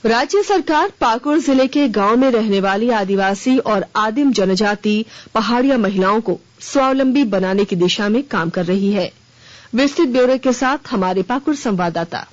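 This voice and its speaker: A woman reading a scripted radio news bulletin in a formal, measured announcer delivery. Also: a little high, animated. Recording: dull, band-limited radio broadcast.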